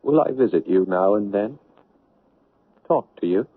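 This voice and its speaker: An actor in a 1970s radio drama playing a bewildered, anguished man, halting and stammering as he speaks. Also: lowish voice, animated, breathy edge. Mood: wistful, excited. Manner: halting, stammering, repeating words. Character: anguished, haunted man newly dead, bewildered and lonely. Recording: noisy, narrow-band 1970s radio recording.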